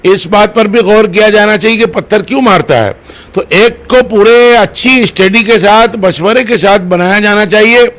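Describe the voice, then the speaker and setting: A man answering interview questions as head of a religious organisation, deliberate and formally worded. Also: sits high, a bit bright.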